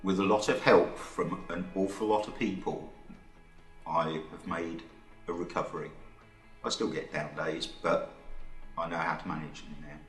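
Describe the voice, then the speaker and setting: Man, fifties, earnest, measured, soft music behind. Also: low-pitched, steady tone. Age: 50s. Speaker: employee sharing his mental health story. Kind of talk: scripted testimonial. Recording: roomy video recording.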